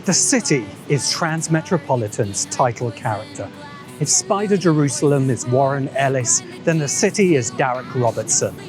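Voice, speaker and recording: Male video essayist, mid-pitched, scripted and rhetorical, pausing for drama, with music underneath. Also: expressive. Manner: emphatic, rhetorical, with dramatic pauses. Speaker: video essay narrator and host. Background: background music.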